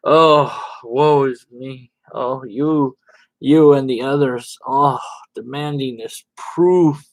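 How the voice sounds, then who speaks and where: A man's voice: medium pitch, slow pace, poor audio. A man voicing a pompous, self-righteous religious internet debater in a melodramatic, condescending mock reading. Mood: mock-wounded, grand.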